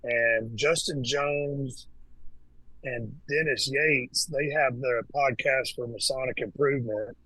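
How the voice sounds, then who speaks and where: A man talking as a guest in a casual conversation, relaxed and hesitant, with many fillers and restarts. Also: mid-range voice, slow, no echo, poor audio. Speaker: podcast guest, Freemason.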